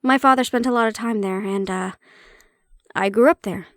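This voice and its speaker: A woman's voice: medium pitch, moderate pace, dry recording, clean studio sound, natural voice. A woman playing a prickly, guarded banshee-siren hybrid in an intimate audio roleplay, explaining herself conversationally with some teasing. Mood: candid, wry.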